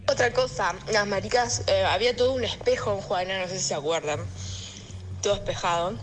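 A woman's voice: mid-range voice, brisk. A woman with an Argentine Rioplatense accent telling a casual personal anecdote in a voice message. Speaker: listener sending a voice message. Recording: noisy voice message with ambient sound.

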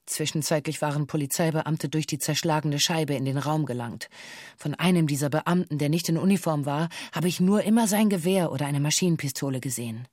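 A woman playing a former hostage who gives sober witness testimony in a documentary radio drama, formal and deliberate in delivery. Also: low-pitched, darkish tone, dry recording, clean studio sound. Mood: sober, factual.

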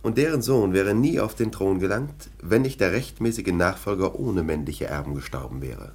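Very deep, scornful police lieutenant. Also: male voice, animated, normal speed, a little mellow, breathy edge, heavily acted. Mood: confidential, sardonic. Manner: sardonic, dismissive. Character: scornful, domineering male police lieutenant, sceptical and sly. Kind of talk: German radio play acting.

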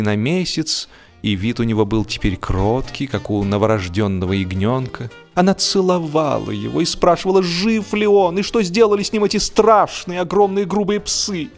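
A man narrating a humorous story in a theatrical, mock-solemn, ironic manner. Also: medium pitch. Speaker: audiobook narrator. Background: music.

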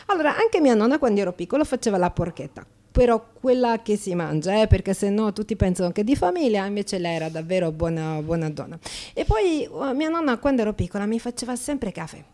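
A woman's voice: mid-range voice, big pitch swings, fast talker, darkish tone, natural voice. An actress playing a chatty half-Slovak prostitute telling her life story in Slovak-accented, broken Italian, repeating words and checking in with her listener. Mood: candid, emphatic. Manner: broken grammar, tag questions, repeats words for emphasis. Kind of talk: intimate theatrical monologue addressed to a listener.

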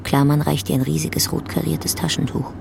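Creaky-voiced narrator, clipped phrases. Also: mid-range voice, flat delivery, moderate pace, noisy background. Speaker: narrator reading stage directions. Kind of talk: clipped scripted narration of a radio drama.

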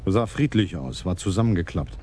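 An actor playing a plain-spoken patrol constable giving reports in a radio crime drama. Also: male voice, low voice, expressive, fairly quick, mellow, slightly rough. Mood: matter-of-fact. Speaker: police constable. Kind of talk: 1980s radio drama acting.

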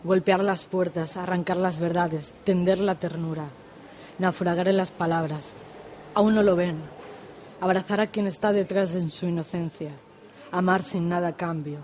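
A woman's voice: lowish voice, fairly even intonation, moderate pace, a little mellow, slight background noise. A woman poet speaking as a guest on a radio show, reciting verse and giving short replies. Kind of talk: poetry reading and brief interview replies.

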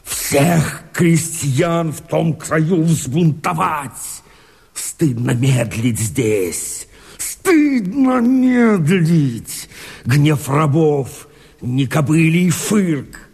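A man acting a defiant, husky peasant rebel urging revolt in emphatic verse, in a reverberant radio drama. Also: on the high side, animated, darkish tone, full character voice. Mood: defiant, urgent. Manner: slow, emphatic declamation. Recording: long reverberant hall echo.

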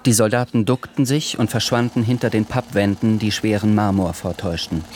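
A man narrating a radio drama in a calm, measured way. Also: on the low side, slight background noise.

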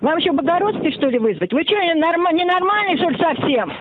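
A woman's voice: very high-pitched, very animated, fast, noisy background. A combative woman scolding a caller she thinks keeps phoning her. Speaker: prank victim. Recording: tinny phone line.

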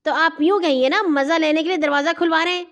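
A woman's voice: sits high, expressive, voice pushed up. A woman voicing a cheeky young boy in a storybook, boastful yet easily scared. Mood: cheeky, irritated. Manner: cheeky, pleading.

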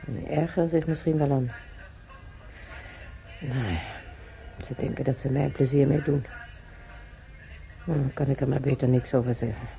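A creaky-voiced, kindly elderly woman patient. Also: rumbling low, fairly even intonation, moderate pace, pauses often, warm tone, soft background music. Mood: resigned musing. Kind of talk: Dutch radio play acting. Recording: noisy radio drama recording.

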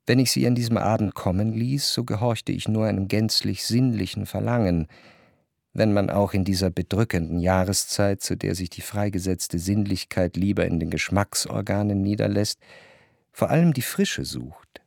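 An actor playing a reflective society narrator in a literary radio drama, with a measured, introspective way of talking. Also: male voice, on the low side, creaky, a little mellow, no room sound, studio quality. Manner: measured, elaborate, introspective phrasing. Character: reflective male narrator recalling high-society soirées.

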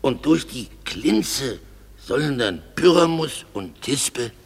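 A pompous gravelly weaver. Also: male voice, mid-range voice, big pitch swings, slow pace, full character voice. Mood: eager. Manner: self-important, hesitant with fillers. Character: pompous male weaver, amateur actor. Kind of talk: German radio play acting.

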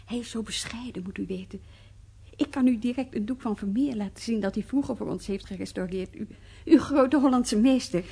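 Radio drama: courteous female conservator, mellow and very breathy, careful and explanatory in delivery. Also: fairly high pitch, a little fast. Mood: polite admiration. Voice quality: husky, breathy. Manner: polite, hesitant, explaining carefully. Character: courteous woman art conservator admiring a colleague's work. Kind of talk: naturalistic radio drama acting.

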